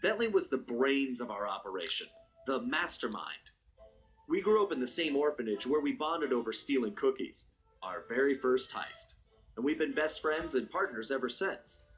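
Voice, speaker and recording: An American man voicing a suave, confident master thief, narrating a video game cutscene in a smooth, wry, unhurried storytelling manner. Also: mid-range voice, close to an everyday voice, rough recording. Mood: fond, easygoing. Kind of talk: scripted first-person cutscene narration. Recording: music under the voice.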